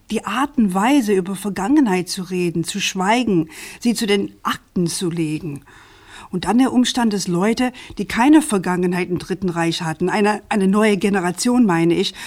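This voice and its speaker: A woman's voice: medium pitch, close to an everyday voice. Actress as earnest, persistent female journalist. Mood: insistent. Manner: earnest, insistent, articulate. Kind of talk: radio crime drama dialogue.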